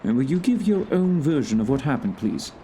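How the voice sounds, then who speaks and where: A man playing a courteous, thoughtful gentleman detective in a period mystery audio play, questioning politely and with care. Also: mid-range voice, big pitch swings, a little hiss or hum, lightly acted, poor audio. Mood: polite, probing. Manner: polite, measured, hesitant questioning. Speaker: actor in audio drama.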